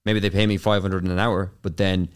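A young man with an Irish accent, a guest on an interview show, answering in a casual, rapid way full of fillers and restarts. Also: deep voice, flat delivery, clean tone. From Ireland. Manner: fast, filler-heavy with many restarts. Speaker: YouTube consultant, guest.

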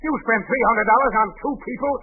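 An old radio recording with a clearly audible crowd carries a blustering comedy boss. He is high-pitched, indignant and exasperated, in 1940s sitcom style. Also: quick pace, narrow, tinny audio. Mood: irate. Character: blustering, irate, flustered male boss.

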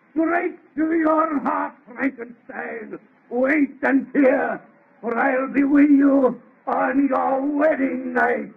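A man playing a lonely, bitter man-made monster, rough-voiced, declaiming in anguished theatrical 1930s radio horror style. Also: very high-pitched, heavily acted. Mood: menacing fury. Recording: muffled, lo-fi vintage radio recording.

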